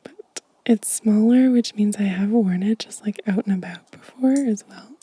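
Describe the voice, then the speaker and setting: A woman speaks in a very soft, dark ASMR voice, mostly whispered and full of breath. She is gentle and casual, drifting between whisper and quiet voiced speech as she shows things off. The sound is close and dry. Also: mid-range voice, moderate pace, husky, no room sound. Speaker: ASMR video host. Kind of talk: ASMR show and tell, whispered and soft-spoken. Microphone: close microphone, dry sound.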